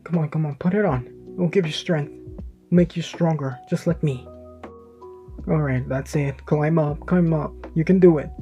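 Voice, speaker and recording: A man voicing an earnest teenage boy hero who pleads and encourages in a raised, higher voice. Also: moderate pace, mellow, lo-fi sound, faint music behind, close to an everyday voice. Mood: urgent.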